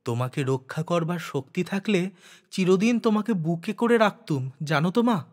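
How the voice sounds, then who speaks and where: A man's voice: high-pitched, expressive, normal speed, darkish tone. A man voicing a humble, worried uncle in an audio story, earnest and pleading.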